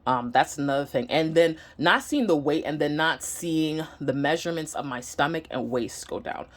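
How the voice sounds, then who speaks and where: A woman's voice: deep voice, normal speed. A woman with a Nigerian accent giving a casual, candid personal update to camera at home, earnest and a bit hesitant. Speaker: vlogger. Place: home room.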